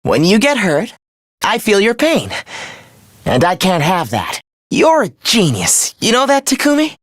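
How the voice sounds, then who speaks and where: A man's voice: sits high, huge pitch swings, a little slow, fairly bright tone, dry recording, studio quality, heavily acted. A young man playing a smug, mocking villain in a video game, with a condescending, theatrical delivery. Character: taunting, smug young villain living in someone's head. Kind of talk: video game character acting.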